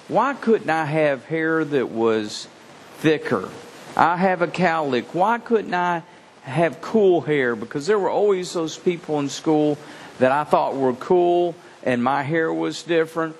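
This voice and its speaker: A man gives an earnest children's sermon, his voice rather high and swinging widely in pitch. He talks straight to the kids in a large reverberant hall. Faint room ambience sits behind him. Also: slight background noise. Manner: animated, earnest, speaking directly to kids. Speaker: children's pastor.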